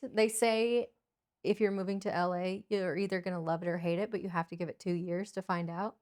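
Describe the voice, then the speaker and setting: American woman in relaxed casual chat. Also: fairly low pitch, brisk. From Minnesota, United States. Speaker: cooking channel host. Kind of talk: casual chat answering viewer questions.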